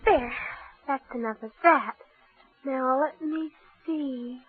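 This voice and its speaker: A woman's voice: high-pitched, takes it slowly. A woman playing a possessive young daughter who feigns sweet innocence, in old-time radio drama style. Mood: brooding, possessive. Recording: tinny old radio recording.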